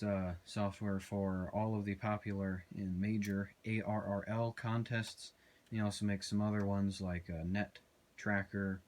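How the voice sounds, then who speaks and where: A man giving a casual, unscripted walkthrough as an amateur radio operator, plain-spoken and a little hesitant. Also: lowish voice.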